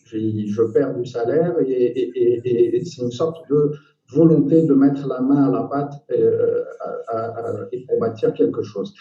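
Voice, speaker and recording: A man from Algeria speaking as an election candidate in a debate, measured and searching for words, on muffled, distant, echoey audio. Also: medium pitch. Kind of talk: political debate, spontaneous argument.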